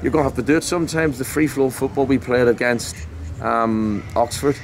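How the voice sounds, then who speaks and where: A man's voice: mid-range voice, fairly quick. Man, 40s, football manager, post-match interview, blunt, passionate, outdoor stadium at night, faint background noise. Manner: emphatic, repetitive, blunt and passionate. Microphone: handheld mic held close.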